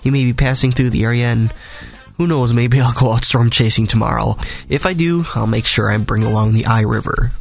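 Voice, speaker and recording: Raspy-voiced man giving a weather podcast. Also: medium pitch, brisk, faint music behind. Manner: conversational, detailed forecasting. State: raspy voice from a cold or allergies. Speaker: weather podcast host, meteorologist. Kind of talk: solo weather forecast podcast.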